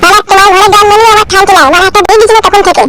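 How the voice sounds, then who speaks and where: A woman's voice: very high voice, fairly even intonation, very fast. A woman voicing a cheeky, defiant cartoon youngster who whines and complains brashly in a comedy cartoon. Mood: whiny, brash.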